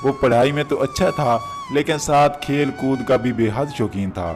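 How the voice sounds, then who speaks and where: A male storyteller narrates a moral tale for children. His pitch is medium and moves in a lively way from phrase to phrase. Music plays clearly behind the narration. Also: normal speed.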